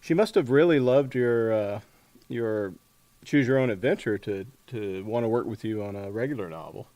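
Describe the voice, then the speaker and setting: A man's voice: on the low side, normal speed, darkish tone, dry recording. A man hosting a casual book interview, asking questions in a slightly hesitant, conversational way. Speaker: podcast interviewer.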